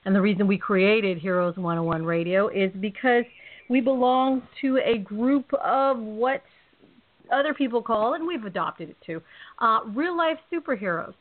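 A talkative woman with a California accent hosts a loose, joking radio chat. She rambles and laughs easily. Her voice comes through a narrow, phone-like line at a middling pitch. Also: normal speed. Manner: chatty, rambling, laughs often. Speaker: host. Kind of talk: casual podcast chat. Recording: tinny phone-line audio.

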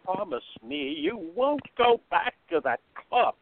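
A man playing a high, pleading anxious man. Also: very animated, unhurried, exaggerated voice. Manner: earnest, pleading. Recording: tinny phone-like line.

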